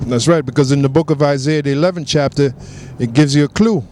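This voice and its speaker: A man preaching in an outdoor discussion, emphatic and quick to affirm with agreement. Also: fairly high pitch, a little mellow, slight background noise. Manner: emphatic, keeps affirming with "that's right". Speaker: street preacher.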